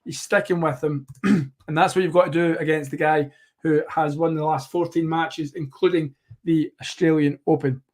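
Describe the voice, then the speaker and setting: A man hosting a live tennis watchalong, commentating play by play in a rambling, self-correcting way, slightly hoarse. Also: a little high, fairly even intonation, normal speed.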